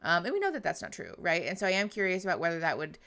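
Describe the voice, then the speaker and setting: A woman's voice: on the low side, big pitch swings, very fast, gravelly, pushed and bright. A woman therapist giving live reaction commentary, with candid, wry asides and plenty of ums. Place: at home with a pet. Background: show audio playing.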